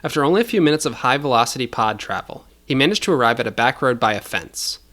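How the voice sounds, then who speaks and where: A man's voice: mid-range voice, lively intonation, quick pace, a bit bright. A man narrating scripted audio fiction as the storyteller.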